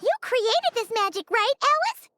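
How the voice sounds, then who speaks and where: Squeaky, very high little girl. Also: sing-song, a little slow, pushed and bright, exaggerated voice. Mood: alarmed, puzzled. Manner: exclamatory, childlike delivery. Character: excitable little girl, playful and easily amazed. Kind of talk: video game voice acting. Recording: music behind the voice.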